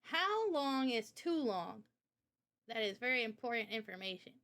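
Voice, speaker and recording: Bright, higher-pitched woman chatting with viewers. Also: very animated, normal speed. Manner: informal, addresses the viewers directly. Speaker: game developer and Q&A host. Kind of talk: casual livestream Q&A chat.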